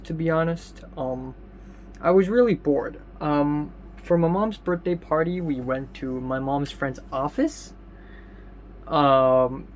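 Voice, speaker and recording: A young man talks through his day in a loose, rambling video diary, his voice a touch higher than most and slightly raspy. He stops often on ums and restarts. A faint hiss sits under the recording. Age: young adult. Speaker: vlogger.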